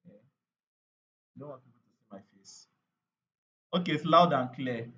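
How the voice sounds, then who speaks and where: A man's voice: fairly high pitch, normal speed, bright, forceful tone, muffled recording, a little hiss or hum. A man running a live online training on paid ads, chatty and repetitive, with lots of fillers and "right?" tag questions.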